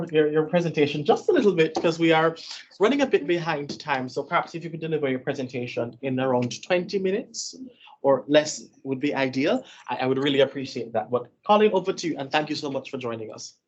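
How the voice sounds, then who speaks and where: A male session host introduces a speaker in a polite, measured tone and asks him to keep his talk short. His voice is slightly higher than most men's. The sound is dull, with faint noise behind it. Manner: polite and measured, with some hesitations.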